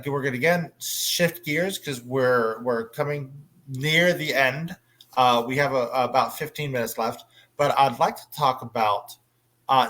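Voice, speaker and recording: A man moderating a casual convention panel, chatting in a hesitant, pausing way. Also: medium pitch, a bit bright.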